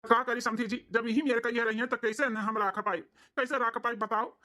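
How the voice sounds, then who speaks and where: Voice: mid-range voice, steady tone, a little fast, pushed and bright, natural voice. A performer voicing an aggrieved village husband in an Awadhi cartoon comedy, complaining in rhetorical questions with an Awadhi accent. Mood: exasperated. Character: aggrieved village husband, scolding his wife to her father.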